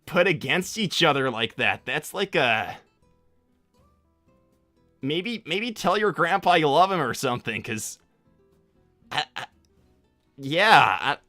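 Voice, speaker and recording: A man voicing a cocky, easily exasperated teenage cartoon hedgehog, chatting off the cuff on a livestream in a casual, halting way that repeats itself. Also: a little high, bright, forceful tone, no echo, exaggerated voice. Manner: casual, halting slacker drawl full of repetition. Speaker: livestream host answering viewer questions in character. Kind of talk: improvised in-character livestream chat.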